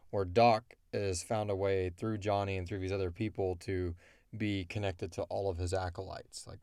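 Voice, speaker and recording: A man's voice: fairly low pitch, a little mellow, no room sound, studio quality. A man hosting a casual chat, answering questions at length with opinionated, slangy asides. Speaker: podcast host answering listener questions.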